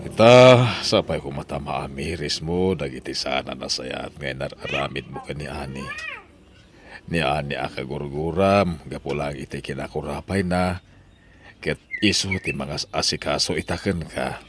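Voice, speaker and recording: A man's voice: very deep voice, normal speed, a little hiss or hum. A man with an Ilocano accent playing a stern old husband who scolds his wife in an audio drama. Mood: stern, scolding.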